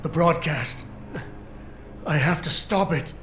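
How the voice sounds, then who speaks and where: An actor playing a guarded, defiant cyborg security officer, speaking tersely and gruffly. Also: male voice, fairly high pitch, takes it slowly, fairly bright tone, a little hiss or hum.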